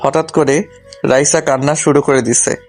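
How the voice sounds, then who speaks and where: A man's voice: medium pitch, little pitch movement, moderate pace. A man narrating a scripted first-person story in a colloquial, humorous manner. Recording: music behind the voice.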